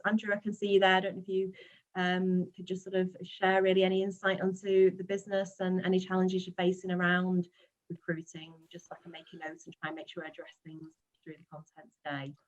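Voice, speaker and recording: A woman's voice: fairly low pitch, little pitch movement, fast. Chatty woman presenter on dull call audio. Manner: friendly, chatty, many fillers and restarts. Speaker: webinar presenter and recruitment coach. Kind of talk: relaxed webinar presentation. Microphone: video call.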